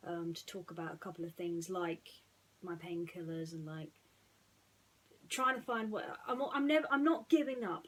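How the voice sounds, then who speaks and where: A woman's voice: medium pitch, slight background noise, poor audio. Earnest woman telling bedtime stories. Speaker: storyteller reading bedtime stories. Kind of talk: personal chat and children's story reading.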